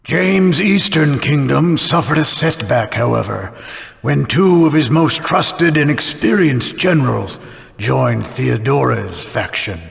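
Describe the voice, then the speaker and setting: Solemn fantasy game narrator, middle pitch, heavily creaky, measured, long reverb. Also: male voice, animated, full character voice. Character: solemn storyteller of a dying fantasy world. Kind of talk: scripted narration for a video game.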